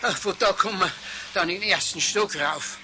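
An actor playing a gruff, practical caretaker in a children's radio play, talking brusquely with a Bavarian accent. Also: male voice, sits high, moderate pace, bright, forceful tone. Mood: urgent, practical. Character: gruff, scolding caretaker, then practical helper. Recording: faintly hissy radio play.

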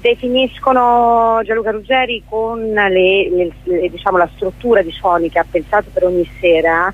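A woman's voice: mid-range voice, steady tone, moderate pace. A woman being interviewed on radio, explaining things spontaneously and often correcting herself as she goes. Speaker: theatre director, interview guest. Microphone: telephone. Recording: narrow, tinny telephone line.

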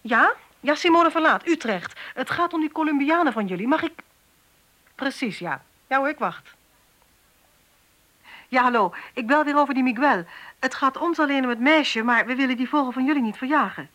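An actress in a Dutch radio drama playing a brisk, impatient female police detective with a sarcastic manner. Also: sits high, lively intonation, fairly bright tone. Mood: businesslike.

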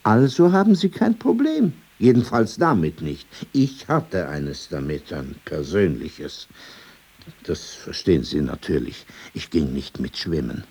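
A man's voice: low voice, very animated, unhurried, darkish tone, slightly rough, full character voice. An actor playing a world-weary, prickly old man in a radio drama, addressing someone formally with probing questions and sudden turns to reminiscence. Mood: dry, challenging. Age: older.

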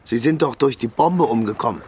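An actor playing a soft-hearted, hesitant travelling companion in a radio play. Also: male voice, medium pitch, fairly quick, a little hiss or hum, lightly acted. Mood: gently protesting. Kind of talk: German radio play acting.

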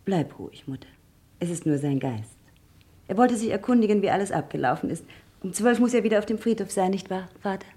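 An actress playing a practical grown daughter in a vintage radio crime play, dry and unruffled. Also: female voice, mid-range voice, lively intonation, brisk, warm tone, lightly acted. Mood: soothing, brisk. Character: cool, practical grown daughter managing family affairs. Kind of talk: vintage German radio crime drama acting.

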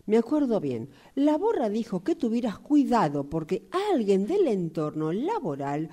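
A woman's voice: medium pitch, very animated, moderate pace, mellow. A woman playing a fortune-teller with an Argentine accent in a radio drama, soothing yet firm and easily exasperated, reacting emphatically. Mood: gently reproving.

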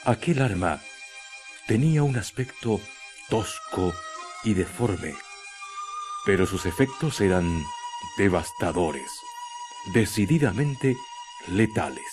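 A male narrator reads a scripted radio drama. His voice sits a little low and moves very slowly and dramatically, over a clear music bed. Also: expressive.

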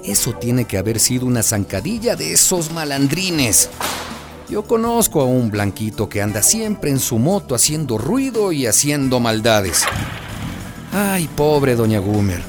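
An actor playing a sympathetic middle-aged neighbourhood man who confides in an expressive, folksy way in a radio drama. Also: mid-range voice, a little slow. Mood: pitying. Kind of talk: radio drama narration in character. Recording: music behind the voice.

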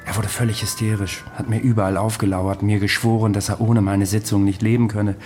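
A man plays an ageless raconteur in a radio play. His voice is low and his delivery dry and matter-of-fact, like a patient recounting a long past, with some music behind him. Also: flat delivery, a little fast, darkish tone, lightly acted. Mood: dry, weary recollection. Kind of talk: German radio drama acting.

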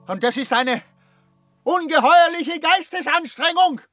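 An actor playing an obsessive, tormented scholar in a radio play monologue, speaking emphatically and haltingly, repeating himself. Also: male voice, very high voice, fairly bright tone, no room sound, faint music behind. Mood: brooding, obsessive. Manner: emphatic, repetitive, halting delivery with drawn-out words. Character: obsessive, tormented scholar consumed by his life's study.

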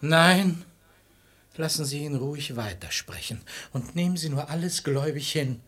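A man's voice: fairly high pitch, normal speed, fairly bright tone, lightly acted. A man playing a sanctimonious, lustful hypocrite who feigns humble piety, declaiming verse unctuously in a radio play. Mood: theatrical self-abasement. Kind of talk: German radio play of classical verse comedy.

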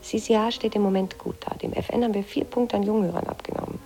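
An actress playing a practical, reassuring woman in intimate radio drama dialogue, with a mellow, creaky voice. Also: mid-range voice, steady tone, fast, faint music behind, close to an everyday voice. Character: practical, reassuring woman, career-minded media worker.

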